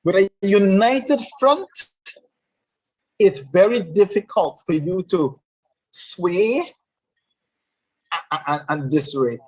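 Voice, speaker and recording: A man's voice: high-pitched, expressive, slow pace, pauses often, darkish tone. A man with a Caribbean English accent who talks in an emphatic, repetitive, lecturing way in a conversational discussion. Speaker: guest speaker on leadership. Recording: dull lo-fi sound.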